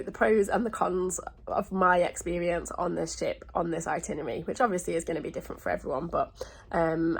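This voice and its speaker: A woman chats casually to her vlog with a husky, croaky voice that often drops into creak. She sounds stuffy and sore-throated, and she rambles along through loose asides in an informal, chatty way. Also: lowish voice. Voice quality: slightly croaky, congested. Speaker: travel vlogger.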